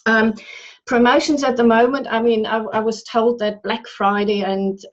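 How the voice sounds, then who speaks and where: A woman's voice: on the high side, little pitch movement, moderate pace. A woman who is a native German speaker, giving a chatty, laughing self-introduction as a German tutor over a video call. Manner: chatty, laughs often, restarts sentences. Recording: muffled video call audio.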